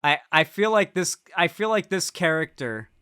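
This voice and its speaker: A man's voice: a little high, expressive, moderate pace, sharp tone, clear, resonant tone, dry recording, clean studio sound. A man giving casual political commentary while stoned, rambling with lots of fillers and restarts.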